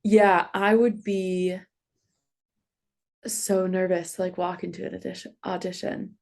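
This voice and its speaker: A woman's voice: fairly low pitch, level tone, unhurried, gravelly, a little mellow. Bubbly young woman podcast host. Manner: bubbly, gushing, heavy use of "like" and "oh my God". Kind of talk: casual interview chat.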